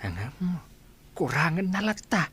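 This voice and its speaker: Brief: animated boss with a sharp, bright voice, radio drama. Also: male voice, fairly high pitch, unhurried, breathy edge, heavily acted. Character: older shop owner, employer, blunt.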